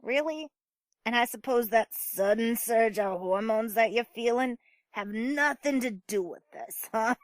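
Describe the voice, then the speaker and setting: A woman playing a slow-talking, teasing older neighbour. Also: a little high, no echo, close to an everyday voice. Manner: casual, insinuating. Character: teasing, nosy older female neighbour, a kidder. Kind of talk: amateur audio drama acting.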